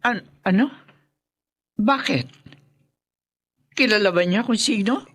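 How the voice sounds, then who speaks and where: An actress playing a concerned, discreet older mother and wife in a radio drama, with a folksy, expressive manner. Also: medium pitch, slow pace. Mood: concerned, startled.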